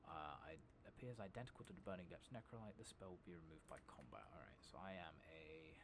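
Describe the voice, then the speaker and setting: Male ASMR gamer, low creaky voice, soft casual muttering with whispers, over noisy game ambience. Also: brisk. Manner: murmured, drifting in and out of whispers; casual, sweary, muttering to himself. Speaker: gaming streamer. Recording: clearly noisy background with clicking.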